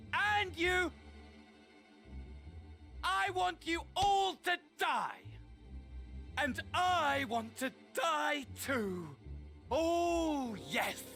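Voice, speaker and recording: Nihilistic villain mastermind for a game: grandiose, mocking, unhurried, wildly animated delivery over music. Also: male voice, very high-pitched, gravelly, full character voice. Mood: manic rage. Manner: grandiose showman, swinging from mocking courtesy to mania. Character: theatrical, gleefully nihilistic male villain mastermind, unhinged and mocking. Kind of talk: theatrical video game voice acting. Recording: game audio with music behind.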